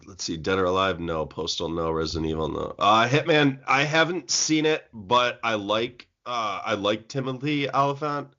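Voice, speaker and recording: A man's voice: fairly low pitch, moderate pace, few pauses, pushed and bright. A man co-hosting a casual podcast chat, rambling with restarts and mocking quotes of other people. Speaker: podcast co-host. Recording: dull lo-fi audio.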